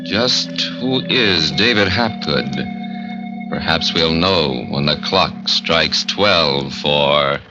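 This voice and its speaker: A man announcing a 1940s radio horror drama in an ominous, theatrical, drawn-out manner. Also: rumbling low. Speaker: announcer, narrator. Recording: muffled vintage radio recording with organ. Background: loud organ music.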